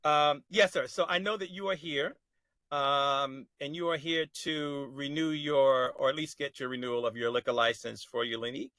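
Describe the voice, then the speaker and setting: A man chairing a public board meeting on a video call, with a bright, slightly high voice and a dry sound. He is polite and formal, calls people sir, and often stops to restart his sentences. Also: fairly even intonation, moderate pace, no room sound. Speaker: committee chair.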